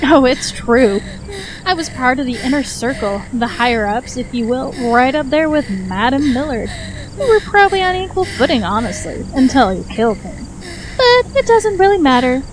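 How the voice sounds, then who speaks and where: A woman's voice: sits high, sing-song, moderate pace, crowd noise, rough recording. A woman playing an ambitious, malicious teenage girl with a flippant, self-important manner in a fantasy audio drama. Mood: smug.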